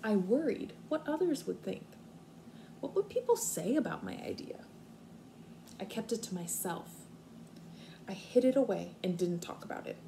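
A young woman, a kindergarten teacher, reading aloud expressively and explaining things simply and deliberately to small children. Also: medium pitch, sing-song, vocal fry. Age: young adult. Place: living room at home, indoors. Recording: faint room noise, home video.

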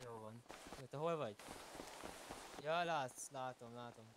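A man chattering and calling out excitably as a player in an online roleplay game. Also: mid-range voice. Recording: loud game vehicle noise over voice. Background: vehicles and game ambience.

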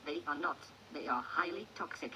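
A female info robot making public announcements in a space colony video game. The delivery is formal and deadpan, yet the pitch moves widely and the tone is crisp and bright. It comes through laptop speakers filmed up close, with faint noise behind it. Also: moderate pace, exaggerated voice. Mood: detached, official.

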